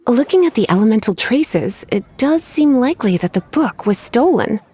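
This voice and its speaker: A woman's voice: on the high side, sing-song, moderate pace, warm tone, a little hiss or hum. A woman voicing a teasing, self-assured librarian in a video game, coy and theatrical with a sweetly menacing edge. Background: faint music.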